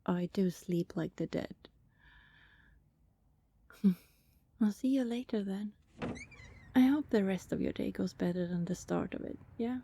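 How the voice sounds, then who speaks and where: A woman playing a friendly, teasing vampire neighbour in an intimate ASMR roleplay, chatty and casual, with a soft breathy voice that often slips toward a whisper. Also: mid-range voice, unhurried, long pauses. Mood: fond, teasing. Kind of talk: ASMR roleplay, intimate one-sided conversation with listener.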